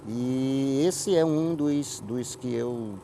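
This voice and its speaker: A man's voice: medium pitch, drawn-out words, mellow, slight background noise. Northeastern Brazilian man telling about his work. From Paraíba, Northeast Brazil. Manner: repeats words and phrases for emphasis. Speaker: writer and playwright, interview guest. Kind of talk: conversational interview answers, storytelling.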